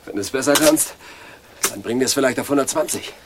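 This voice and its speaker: Ein Schauspieler als wahnhafter Anstaltspatient in einem Hörspiel, der eindringlich und verschwörerisch von seinen Ängsten redet. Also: male voice, medium pitch, fast talker, background noise, lightly acted. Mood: obsessive, earnest. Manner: urgent, conspiratorial explaining. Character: delusional asylum patient, obsessively weeding, fearful of women. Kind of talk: German radio drama acting.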